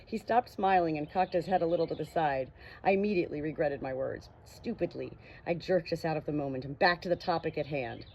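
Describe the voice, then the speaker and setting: A woman with a slightly husky voice reading a novel aloud in an expressive, personal way. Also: low-pitched, a little fast, slight background noise. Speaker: audiobook reader. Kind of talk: casual introduction and expressive narration of a novel.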